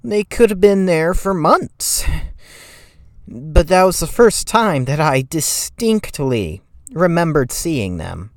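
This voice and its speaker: Man acting a slow, timid, shaky horror narrator. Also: on the high side, animated, no echo, studio quality. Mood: shaken. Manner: timid, shaky, halting with stammered repeats. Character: timid, shaky, haunted narrator recalling a frightening night drive. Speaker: creepypasta reader. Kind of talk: dramatic first-person horror story reading.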